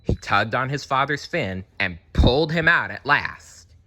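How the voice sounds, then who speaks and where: A man reading a children's storybook aloud in an animated, chatty way, slipping in casual asides. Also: medium pitch, a little slow. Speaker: storybook reader.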